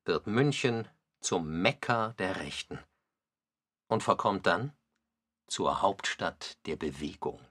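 A man's voice: low voice, lively intonation, slow pace, pauses often, bright, forceful tone. A man reading scripted narration for a radio documentary drama.

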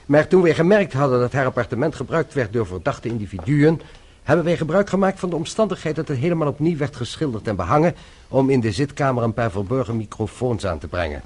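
An actor playing a calm, authoritative French police inspector in a radio play, formal and courteous, using French phrases. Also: male voice, medium pitch, quick pace, lightly acted. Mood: explaining. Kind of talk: radio play acting in Dutch.

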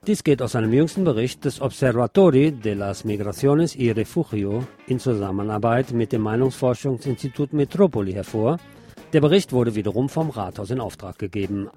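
A man presents the news from a script, adding short asides as he goes. His voice is mid-pitched with a dark, mellow tone. Quiet music plays underneath him. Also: lively intonation, a little fast.

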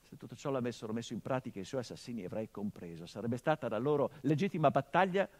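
Middle-aged son, bitter and sardonic, mid-range voice, hushed murmurs breaking into impassioned stage outbursts. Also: male voice, mid-range voice, lively intonation, quick pace. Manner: sardonic, biting. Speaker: stage actor. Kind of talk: theatrical monologue.

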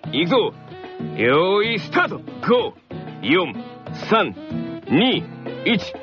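A cocky, commanding teenage boy played by a man in a radio comedy skit. He talks down with dramatic, widely swinging pitch. Music plays clearly behind the muffled recording. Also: sing-song, very slow, heavily acted. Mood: bossy. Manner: imperious, condescending, dramatic. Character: cocky, bossy teenage boy tennis ace, scornful. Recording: band-limited radio sound over loud music.

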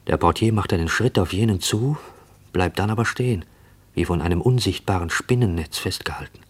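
A man narrating a radio drama in a deliberate, literary manner. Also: low-pitched, husky. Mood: quiet, observant.

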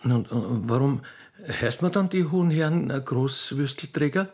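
A man voicing a naive, chatty railway keeper's daughter with an Austrian accent in a radio play, halting and repeating words eagerly. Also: mid-range voice, animated, a little mellow. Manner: halting, repetitive, rustic and eager. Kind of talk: radio play character acting.

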